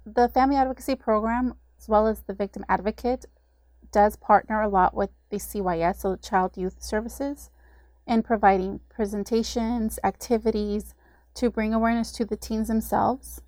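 A woman's voice: mid-range voice, normal speed, dry recording. Woman explaining services, dry close sound. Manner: explanatory, steady, with occasional fillers. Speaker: community services director, guest. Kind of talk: informational interview.

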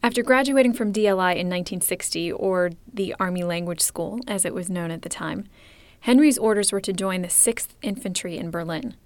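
A woman hosting a podcast, reading scripted documentary narration in a clear, measured storytelling manner. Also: fairly low pitch, no echo. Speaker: podcast host.

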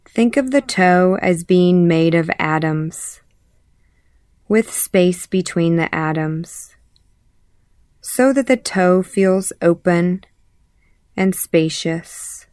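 A woman leading a guided meditation as an instructor, calmly and in short, measured phrases with pauses. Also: lowish voice, dry recording, clean studio sound. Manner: calm, measured, pausing between short phrases. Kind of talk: guided body scan meditation.

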